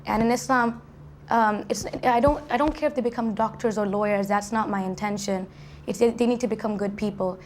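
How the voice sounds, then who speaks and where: A woman's voice: medium pitch, fairly even intonation, brisk, a little hiss or hum. A young woman who grew up in Saudi Arabia, answering interview questions in a chatty way, full of restarts and "like" fillers, in a university dorm. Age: young adult. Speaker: college student guest.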